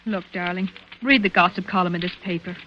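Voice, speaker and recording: A woman's voice: on the low side, steady tone, fairly quick, close to an everyday voice. An actress playing a bold young socialite woman in a 1940s radio drama. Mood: brisk, intimate. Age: young adult. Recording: hissy, dull vintage radio recording.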